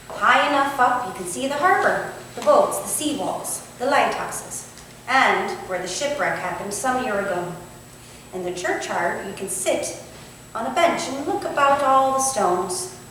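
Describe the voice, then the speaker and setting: An actress playing a curious, modest young Victorian housemaid with a colloquial, deferential way of talking, in a staged reading. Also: female voice, a little high, fairly bright tone. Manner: colloquial servant dialect, deferential. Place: indoor staged reading, distant microphone. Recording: echoey room from far off, faint noise.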